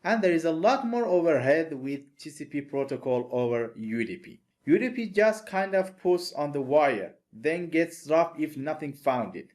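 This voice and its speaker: A man's voice: a little high, expressive, moderate pace, few pauses, darkish tone. Accented man explaining networking slides. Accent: non-native English accent. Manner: methodical, plain explanatory delivery. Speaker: networking instructor. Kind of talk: recorded technical lecture over slides.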